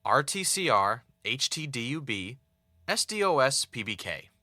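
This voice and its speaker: A man acting as a wry, uneasy podcast host in an audio drama, with a casual, halting, conversational delivery. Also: medium pitch, sing-song, sharp tone, no room sound, clean studio sound. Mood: chatty, teasing. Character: Ray, wry, uneasy male podcaster investigating his own death.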